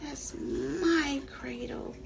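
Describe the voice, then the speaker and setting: A sulky, determined little storybook boy , read by a woman, speaking in a high voice. His words come slowly and deliberately. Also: vocal fry, a little hiss or hum, full character voice. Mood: sulky, muttering. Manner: slow, muttering and exclaiming.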